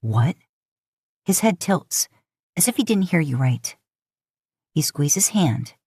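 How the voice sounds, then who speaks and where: A woman's voice: lowish voice, lively intonation, measured pace, creaky, dark tone, breathy edge, no room sound, studio quality. A woman reading scripted fiction as an audiobook narrator.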